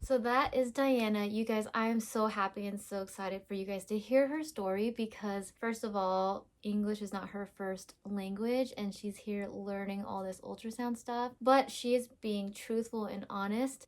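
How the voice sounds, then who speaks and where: A young woman hosting a vlog about her sonography career, talking straight to her viewers in an upbeat, encouraging way. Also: mid-range voice. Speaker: host, sonographer.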